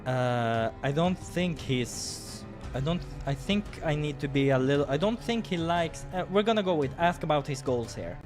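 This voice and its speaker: A man's voice: a little high, animated, moderate pace, few pauses. A man hosting a visual novel playthrough, reading the story aloud with playful, theatrical reactions. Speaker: gaming host narrating a playthrough. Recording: music behind the voice.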